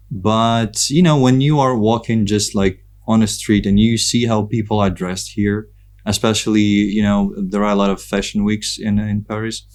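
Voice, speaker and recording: Low-voiced Ukrainian man, hesitant and reflective. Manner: hesitant, reflective, with frequent pauses. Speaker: podcast guest, photographer and content creator. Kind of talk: casual conversational interview.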